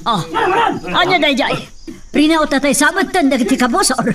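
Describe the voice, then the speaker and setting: Woman acting high-pitched scolding matriarch. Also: expressive, fairly quick, a crowd in the background. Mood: scolding, alarmed. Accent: Ilocano. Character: wealthy lady of the house, scolding matriarch. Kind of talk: Ilocano radio drama acting. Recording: distant mic, faint noise.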